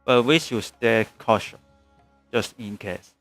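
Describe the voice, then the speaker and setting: A man's voice: mid-range voice, slow pace, a bit bright. Casual male game streamer. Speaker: live streamer. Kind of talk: casual game livestream commentary. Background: game music.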